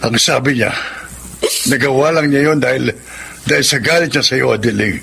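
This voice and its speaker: A man's voice: medium pitch, normal speed, vocal fry, a bit bright, slight background noise. A man playing a stern middle-aged husband and father in a Tagalog radio drama, admonishing and reproachful.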